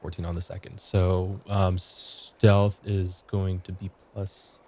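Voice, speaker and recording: A man talking casually and a bit haltingly as a guest player in a tabletop roleplaying game. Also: low voice, flat delivery, warm tone.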